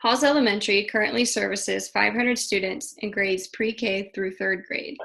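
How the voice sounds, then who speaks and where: A woman from Ohio who teaches special education, reading a prepared presentation in a steady, measured way. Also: medium pitch, vocal fry.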